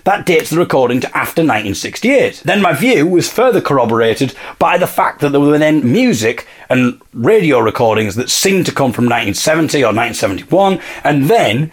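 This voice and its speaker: Animated man with a slightly husky voice. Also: a little high, brisk, few pauses. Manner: musing, self-questioning, repeats points for emphasis. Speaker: host of an investigative series. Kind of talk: informal spoken investigation, thinking aloud to listeners.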